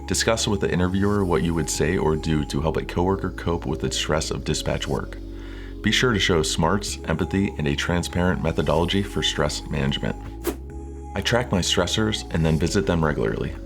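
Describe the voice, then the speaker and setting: A man presenting scripted instructional narration in a measured, formal, clearly structured way. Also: low-pitched, over music. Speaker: presenter of interview coaching videos.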